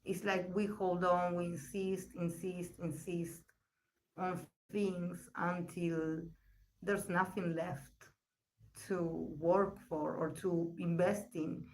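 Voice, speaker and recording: A woman reading tarot on a live stream and answering chat questions. Her voice is still recovering, and she talks in a slow, searching way, with pauses and repeated words. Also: fairly low pitch, level tone, a little mellow, a little hiss or hum, rough recording. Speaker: tarot reader. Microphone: poor live-stream mic.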